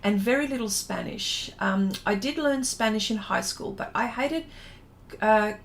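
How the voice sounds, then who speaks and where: A woman's voice: fairly high pitch, a little slow. Chatty Australian woman, noisy livestream recording. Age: middle-aged. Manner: chatty, rambling, trailing off mid-thought. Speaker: livestream host, artist. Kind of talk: casual livestream chat, reading out viewer comments.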